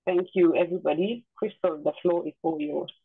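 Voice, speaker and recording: A woman's voice: on the low side, brisk, husky, dry recording. Formal woman host on a phone-like connection. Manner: polite, formal. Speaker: webinar host. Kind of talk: formal welcome and guest introduction. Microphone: video call. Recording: tinny, phone-like webinar audio.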